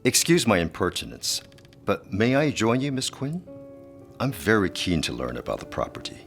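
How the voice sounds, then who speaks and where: An actor playing a smooth, flattering gentleman guest, suave, courteous and florid, in an audio drama. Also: on the low side, moderate pace, faint music behind, exaggerated voice. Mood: apologetic.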